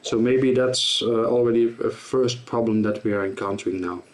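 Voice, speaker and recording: A man giving an informal tutorial explanation as a presenter, in a halting, self-correcting way. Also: medium pitch. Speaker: presenter of guitar gear tutorial.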